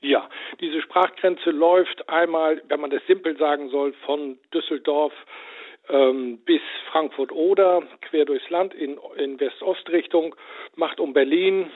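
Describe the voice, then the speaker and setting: A man's slightly higher voice comes through a thin, tinny telephone line. He explains in a measured, lecturing manner, pausing on "ähm" fillers as he builds each point for a radio interview. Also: steady tone. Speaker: language institute director, phone guest.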